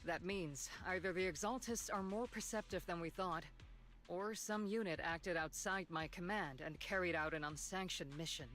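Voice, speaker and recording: An actress voicing a composed, analytical military colonel in a game, measured and serious. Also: female voice, on the low side, a bit bright, soft background music, natural voice. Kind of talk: game story voice acting.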